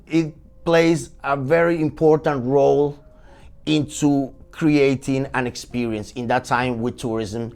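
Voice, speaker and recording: A man in his forties from Venezuela with a Venezuelan Spanish accent, a chef telling his story as a podcast guest in a lively, energetic way. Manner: animated, energetic storytelling with swings in pitch. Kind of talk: casual podcast interview.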